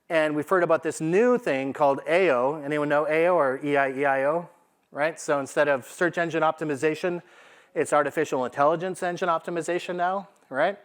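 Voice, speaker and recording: A man giving an informal business presentation to a live audience in a conversational, emphatic way, often checking in with rhetorical questions. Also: a little high. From Canada. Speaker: conference speaker, AI consultant.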